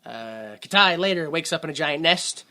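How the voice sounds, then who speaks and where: A man with a high, bright voice recaps a film in loose podcast chat. He is sarcastic, laughs a lot, and keeps acting out little quotes. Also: lively intonation, normal speed. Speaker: podcast co-host. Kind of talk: casual movie recap chat.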